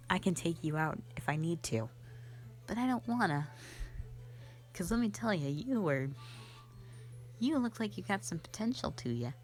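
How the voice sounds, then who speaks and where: Casting: actress as an easygoing, flirty sorceress mentor for fantasy audio roleplay; deep female register, leisurely and offhand, drifting into asides. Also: darkish tone. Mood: easygoing, musing. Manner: rambling, hesitant, offhand, conversational with frequent asides.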